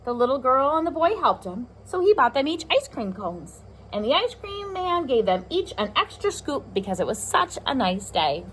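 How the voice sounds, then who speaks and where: A woman reading a picture book aloud to young children, expressive, with chatty asides to her listeners. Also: high voice, normal speed, few pauses, a little hiss or hum, rough recording. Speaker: pre-K teacher reading aloud.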